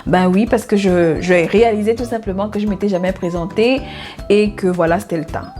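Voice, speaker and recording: A woman in her thirties, from Gabon, talks to her viewers in a relaxed faith vlog. She is cheerful, laughs often and drops in an amen here and there. Her voice sits at an ordinary middle pitch, with faint music playing underneath. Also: a little fast. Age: 30s. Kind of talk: casual faith vlog self-introduction.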